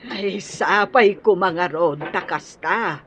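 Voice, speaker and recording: A woman's voice: on the low side, slow pace. An actress with an Ilocano accent playing a doting, hopeful middle-aged mother in a radio drama.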